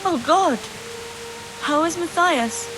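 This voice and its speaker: A woman's voice: very high-pitched, slow pace, background noise. An actress playing a devout, caring medieval woman in a video game, earnest and full of concern. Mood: alarmed. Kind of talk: video game dialogue acting. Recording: music clearly behind the voice.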